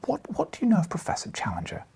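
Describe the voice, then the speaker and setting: A man voicing a polite, eager young reporter in an audiobook, asking questions a little haltingly. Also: on the high side, huge pitch swings, creaky, dark tone, whispered, voice pushed up, exaggerated voice. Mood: curious.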